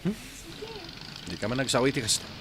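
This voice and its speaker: A man's voice: medium pitch, big pitch swings, fairly quick, crowd noise, lightly acted. Man acting a heartbroken young Filipino jeepney driver. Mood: casual. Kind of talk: radio drama acting.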